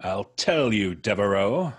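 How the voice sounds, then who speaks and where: Slow, gloating vengeful ex-convict. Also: male voice, on the low side, animated, husky. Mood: vengeful, menacing. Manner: theatrical, drawn-out, florid diction. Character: vengeful, gloating male ex-convict, theatrical and menacing. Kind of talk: old-time radio drama acting.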